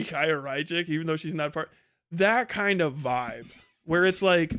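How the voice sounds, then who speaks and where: A man's voice: fairly high pitch, a bit bright, clear, resonant tone. A man hosting a livestream, giving a casual, opinionated rant in a blunt, scornful, profane manner. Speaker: livestream host and commentator.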